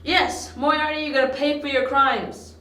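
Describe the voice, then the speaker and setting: A woman's voice: high voice, fairly even intonation, moderate pace, bright, forceful tone. A woman playing a loyal young male detective's sidekick in an amateur audio drama, earnest in delivery. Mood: vengeful. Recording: echoey room, amateur home recording.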